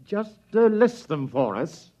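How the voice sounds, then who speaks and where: An actor playing a guarded army general in a 1950s radio drama, speaking in a formal, diplomatic manner. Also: male voice, high-pitched, big pitch swings, slow, full character voice. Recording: dull old radio broadcast.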